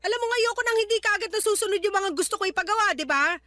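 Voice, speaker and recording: A woman's voice: very high voice, fast talker, bright, forceful tone, no room sound. A woman playing a stern, short-tempered Filipino grandmother who scolds and dismisses her grown daughter in a radio drama. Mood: exasperated scolding.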